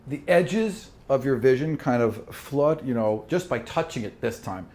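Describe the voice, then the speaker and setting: A man narrating a tabletop role-playing game as game master, improvising in a casual, animated way. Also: medium pitch, measured pace. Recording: faint background noise.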